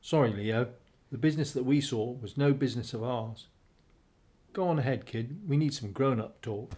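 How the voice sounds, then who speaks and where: A man's voice: medium pitch, normal speed, dark tone, close to an everyday voice. A man playing a reassuring but secretive father in a radio play, paternal and coaxing. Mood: firm, guarded.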